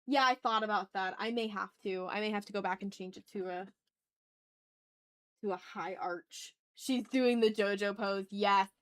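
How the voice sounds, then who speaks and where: A woman chatting casually as she hosts an art livestream, talking to her viewers in an animated, slangy, teasing way with some swearing. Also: medium pitch, normal speed. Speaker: livestream host and artist. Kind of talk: casual art livestream chat, reading and answering chat messages.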